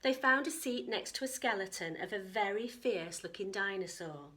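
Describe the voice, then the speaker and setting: A woman narrates a children's picture book with a lively, swooping delivery. Her voice is bright, and she breaks off to chat with and question her young listeners in a teacherly way. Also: medium pitch, moderate pace. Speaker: teacher reading story time.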